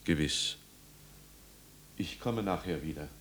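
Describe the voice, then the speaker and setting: A man's voice: deep voice, fairly bright tone. An actor playing a grave, compassionate older village pastor, fatherly, patient and solemn, in a 1950s radio drama. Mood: grave, kindly. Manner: measured, solemn, consoling. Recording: noisy, humming 1950s radio recording.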